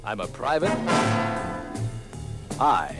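An actor playing a wry private eye, narrating a hard-boiled detective parody with deadpan setups on an old comedy record. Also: male voice, medium pitch, very slow. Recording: old comedy record, loud brassy music.

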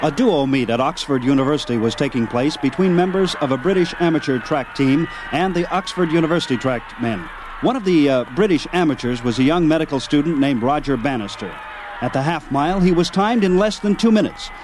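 A man's voice: a little high, animated, fairly quick, background noise. A man narrating a scripted sports history documentary.

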